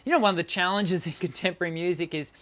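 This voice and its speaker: A man's voice: high-pitched, very fast, fairly bright tone. A middle-aged man with a tenor voice, a singing teacher answering viewer questions on a live stream, encouraging, with many fillers and restarts.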